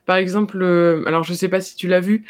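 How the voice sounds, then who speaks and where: A young adult in casual discussion, talking hesitantly with many pauses and filler sounds. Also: mid-range voice, fairly even intonation, no echo.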